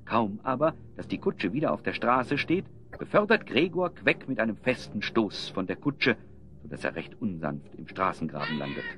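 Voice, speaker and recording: A man's voice: fairly low pitch, very animated, moderate pace. A man narrating an audio drama as a scripted storyteller. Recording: slightly dull sound, music underneath.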